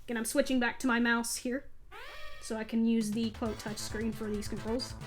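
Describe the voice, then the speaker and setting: A woman's voice: on the high side, steady tone, moderate pace. A woman hosting a let's play, giving casual gameplay commentary in a chatty, self-deprecating way. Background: video game music.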